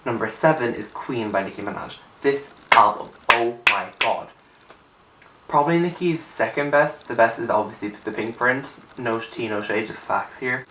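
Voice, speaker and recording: A young man, raspy from just waking up, chatting casually to camera about his music picks in a rambling, emphatic way. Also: mid-range voice, normal speed. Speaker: music vlogger. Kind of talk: casual opinion chat to camera. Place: small untidy room indoors. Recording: roomy, distant camera sound with faint hiss.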